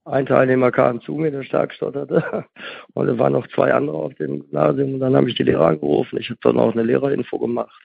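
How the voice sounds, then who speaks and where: A man's voice: mid-range voice, fairly even intonation, a little fast, gravelly, slightly rough. A middle-aged man, explaining things as a therapist in an interview, emphatic and rambling, often repeating his key points. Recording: muffled, narrow phone-like sound with echo.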